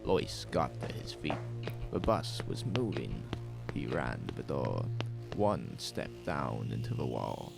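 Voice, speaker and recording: A man narrating a scripted horror audio drama in a measured, suspenseful way, with music behind him. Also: rumbling low, sing-song, vocal fry. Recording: music bed under the voice.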